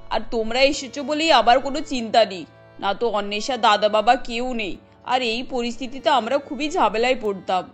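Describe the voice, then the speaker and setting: A woman's voice: a little high, moderate pace, faint music behind, close to an everyday voice. A woman voicing a busy middle-aged cartoon mother of the bride, affectionate as she instructs. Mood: grateful, affectionate. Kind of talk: cartoon voice acting.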